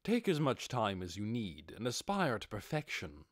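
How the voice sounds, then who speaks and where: A man performs a composed lord and master in an audiobook. His voice sits low yet moves with wide, stately swings, dry and close. He speaks formally and with measured authority, as one used to giving orders. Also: wildly swooping pitch, no room sound. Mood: calm, authoritative. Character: composed lordly master keeping up a commanding act. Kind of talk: audiobook character voice.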